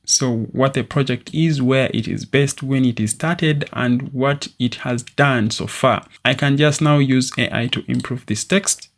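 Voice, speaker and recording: A young man hosting a screen-recorded software tutorial, walking through steps in a steady, explanatory way with occasional fillers, recorded at a desk in a small room. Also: mid-range voice, unhurried, few pauses. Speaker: tutorial host.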